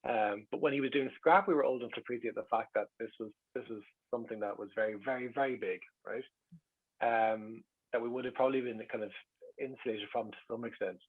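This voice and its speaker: A man's voice: mid-range voice, fairly even intonation, fast talker, slightly rough. A middle-aged Irish man telling casual, rambling anecdotes as an interview guest, wry and self-deprecating, in a slightly lo-fi recording. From Ireland.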